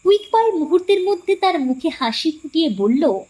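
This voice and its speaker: A woman narrates a scripted story for an audio drama. Her voice is high, and her intonation moves widely as she tells it. The delivery is that of a dramatic storyteller. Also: big pitch swings, normal speed, warm tone.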